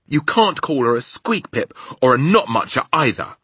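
A man's voice: high voice, huge pitch swings, normal speed, bright, forceful tone, slightly rough, no echo, pitched above the performer's own voice, exaggerated voice. A man voicing a feisty, indignant young girl from a children's storybook, emphatic and insistent. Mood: outraged. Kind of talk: children's storybook character reading.

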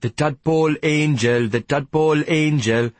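A man voicing a prison work-gang leader who calls out a slow, rhythmic chant of solemn praise in an audiobook story. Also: fairly high pitch, no echo, pitched above the performer's own voice, close to an everyday voice. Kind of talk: sung chant.

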